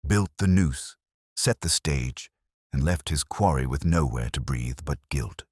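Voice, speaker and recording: A man narrating a first-person detective story in a measured, dramatic storytelling manner. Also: a bass voice, lively intonation, darkish tone, breathy edge, no echo, studio quality. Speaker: audiobook narrator.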